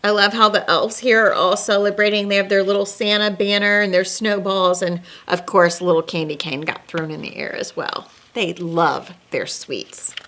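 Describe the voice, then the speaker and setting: A woman storyteller reading a children's picture book aloud expressively, with dramatic pauses and chatty asides as host. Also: medium pitch, fairly even intonation, fairly bright tone.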